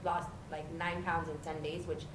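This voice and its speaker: A woman's voice: low voice, little pitch movement, normal speed, a crowd in the background. A woman in her thirties, a podcast guest, speaking candidly and matter-of-factly in casual chat. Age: 30s. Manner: candid, matter-of-fact storytelling. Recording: echoey room with noticeable background noise.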